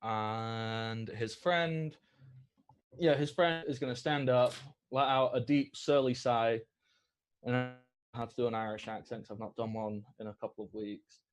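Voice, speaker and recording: Man, game master, tabletop narration, lively delivery, mid-pitched voice. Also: unhurried, slight background noise.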